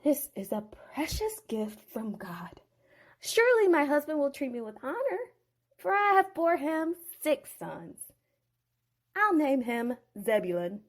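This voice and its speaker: A woman's voice: high voice, big pitch swings, a little slow, pitched above the performer's own voice. A woman voicing Leah, a proud young wife who declares her blessings. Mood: hushed.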